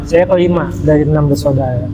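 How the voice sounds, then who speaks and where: A man in his thirties answers questions in short, low-key replies. His voice sits among loud background noise. A long reverb trails behind it. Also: quick pace. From Jakarta. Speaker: guest athlete. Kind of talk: casual chat.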